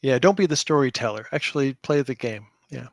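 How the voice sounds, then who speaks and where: A man's voice: medium pitch, expressive, a little fast, no room sound, studio quality. A man speaking casually as a guest in a podcast interview about his own work, with a self-deprecating manner. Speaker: podcast guest, tabletop game designer.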